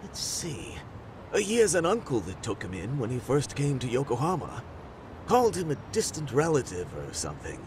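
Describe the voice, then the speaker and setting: A friendly male local in a game cutscene, easygoing and chatty. His mid-pitched voice moves around in a lively way, with clear street noise behind it. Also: normal speed. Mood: helpful, chatty. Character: friendly, chatty male neighborhood eatery worker. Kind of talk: English video game dub acting.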